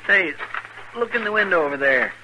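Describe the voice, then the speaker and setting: An actor playing an eager, folksy, nosy marshal's sidekick with a rustic drawl in a 1950s radio western. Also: male voice, high voice, lively intonation, fairly quick. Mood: excited, curious. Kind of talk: 1950s radio drama acting. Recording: noisy, crackly old radio broadcast.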